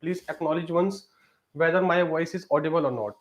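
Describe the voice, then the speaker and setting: A man's voice: a little high, fairly quick. A man teaching a live-streamed exam prep lesson, leaning on fillers and repeatedly asking viewers to comment.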